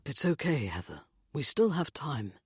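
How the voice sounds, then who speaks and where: A woman voicing a calm male negotiator speaking quietly in an audiobook. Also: low voice, moderate pace, no room sound, studio quality. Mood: hushed. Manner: quiet, hushed.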